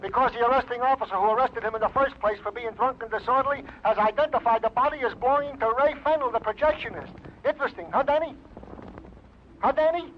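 Very high-pitched excitable police sidekick. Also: male voice, quick pace. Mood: excited, gossipy. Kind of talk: 1940s-50s radio comic-relief acting. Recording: muffled, noisy old radio recording.

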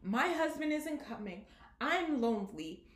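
A woman's voice: sits high, big pitch swings, measured pace. Woman preaching in an echoey room. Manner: rhetorical questions with repeated "right?". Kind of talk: sermon on singleness.

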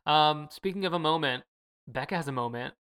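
A woman's voice: sits low, a little fast, smooth. A woman co-hosting a podcast in casual, opinionated chat with plenty of fillers. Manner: relaxed, opinionated, many fillers. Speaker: podcast co-host.

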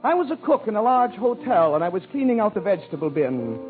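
Male comic as melodramatic lovesick suitor, high swooping voice, florid gushing delivery, vintage radio comedy. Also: sing-song, quick pace. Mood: passionate reminiscing. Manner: gushing, mock-poetic, florid. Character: overwrought melodramatic male suitor in mock love speech. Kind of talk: 1940s radio comedy monologue. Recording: tinny vintage radio recording with music.

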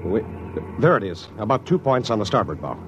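A man's voice: lowish voice, big pitch swings, brisk. An actor playing a loyal, watchful spaceman crewmate in a 1950s radio drama. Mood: alert, steady. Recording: old radio with loud vehicle noise.

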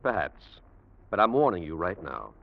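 A man's voice: sits low, very animated, moderate pace, gravelly, exaggerated voice. A man playing an uneasy, resentful young ex-convict, terse and tense, in an old radio drama. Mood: angry, defiant. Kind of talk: 1940s radio drama acting. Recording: dull vintage radio recording.

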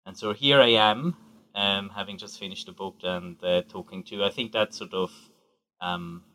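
A middle-aged man from Switzerland speaks as a guest author on a podcast. His voice sits low, yet its tone is bright. He fills his answers with hesitations and small hedges. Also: steady tone, normal speed, low-quality recording. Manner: hesitant, many fillers and hedges. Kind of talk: podcast interview.

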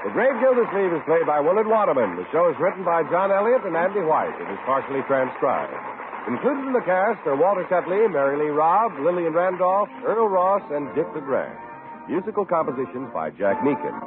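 A man's voice: on the high side, big pitch swings, fairly quick, music in the background. Male 1950s radio announcer, polished and emphatic, hugely varied intonation, narrow tinny broadcast with slight noise.

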